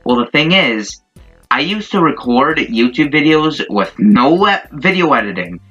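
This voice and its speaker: A man's voice: medium pitch, big pitch swings, a little slow, fairly bright tone, slightly rough. A young man telling a casual, rambling, informal story as a YouTuber. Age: young adult. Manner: rambling, informal, mildly profane. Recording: dull lo-fi mic. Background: background music.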